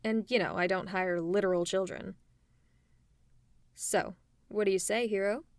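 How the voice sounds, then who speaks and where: A woman's voice: medium pitch, steady tone, measured pace, no echo. A woman voicing an adult female supervillain in a one-sided ASMR roleplay, talking in a teasing, persuasive way to a silent listener. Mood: scheming.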